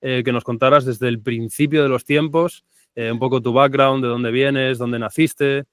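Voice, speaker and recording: A man's voice: medium pitch, steady tone, normal speed, a bit bright, clean tone, no echo, clean studio sound. A man hosting a podcast interview, enthusiastic and affectionate toward his guest, with frequent hesitant fillers. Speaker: podcast host.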